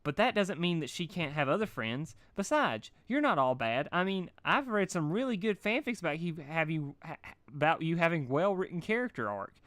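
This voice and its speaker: A man's voice: fairly high pitch, big pitch swings, normal speed, fairly bright tone, dry recording, pitched above the performer's own voice. A man voicing a bubbly, childlike pink cartoon pony girl with playful baby talk for a story reading. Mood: perky, sweet.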